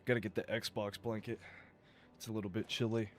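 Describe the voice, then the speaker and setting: A man streaming a game live, talking casually and rambling, his voice going a bit rough as he loses it. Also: lowish voice, flat delivery, fairly quick. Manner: rambling, chatty, swears and laughs. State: losing his voice.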